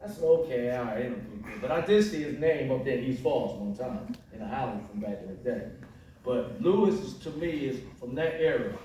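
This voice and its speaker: Male panelist, slangy and enthusiastic; mid-pitched, distant in a very echoey room. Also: expressive, fairly quick, warm tone, slight background noise. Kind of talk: casual panel talk. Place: large library event room. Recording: echoey hall from far off.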